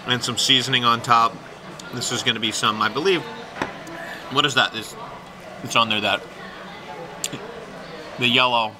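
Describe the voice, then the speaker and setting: A man in his fifties hosting a casual food vlog, chatty and playful, reacting loudly as he tastes sushi in a small restaurant. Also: mid-range voice, steady tone, moderate pace, pauses often. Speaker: food vlogger host. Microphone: handheld camera close up. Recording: noisy restaurant room.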